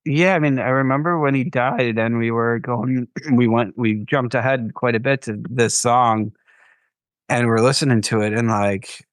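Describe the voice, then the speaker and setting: A man's voice: mid-range voice, fairly even intonation, normal speed, no room sound. A man co-hosting a podcast in casual chat about a record, musing aloud and trailing off with fillers. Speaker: podcast co-host.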